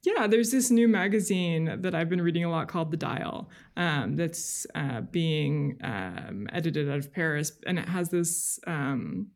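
Female interview guest, strong vocal fry, mid-low conversational register, thoughtful and halting delivery. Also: expressive, a little mellow. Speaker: podcast guest, journalist.

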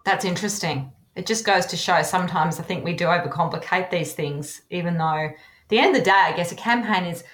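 A woman hosts the conversation, affirming her guest and asking thoughtful questions. Her voice sits a little low, and the room around her adds a noticeable echo. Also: a little fast, fairly bright tone. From Australia. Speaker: podcast host. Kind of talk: conversational interviewing. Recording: roomy echo.

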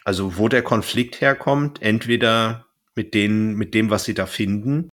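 A man's voice: lowish voice, normal speed, a bit bright. A man chatting casually as a podcast host, thinking aloud and often rephrasing mid-sentence.

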